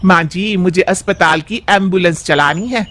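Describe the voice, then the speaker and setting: A man's voice: high voice, moderate pace, pushed and bright. A man voicing a determined young village daughter-in-law, earnest, respectful and dutiful. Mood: hopeful.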